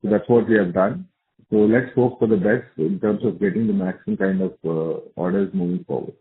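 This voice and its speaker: Man, Indian accent, lowish pitch, company executive, assured corporate phrasing, repeats for emphasis, tinny phone conference line. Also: fairly even intonation, fairly quick. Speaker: company executive answering analysts. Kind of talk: earnings call question-and-answer.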